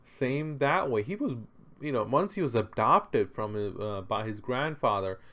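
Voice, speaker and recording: A man's voice: medium pitch, lively intonation, a little slow, bright, forceful tone. A man presenting an unscripted, conversational explainer, speaking emphatically with rhetorical questions and repeated phrases for stress. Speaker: presenter explaining history and philosophy.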